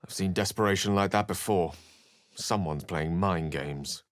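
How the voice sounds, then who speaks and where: A man's voice: deep voice, a little slow, no room sound, studio quality. A male actor voicing a bookish, courteous wizard for a video game, speaking in wry, brooding, articulate and literary phrases. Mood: wry, musing. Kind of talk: video game voice acting, narration and dialogue.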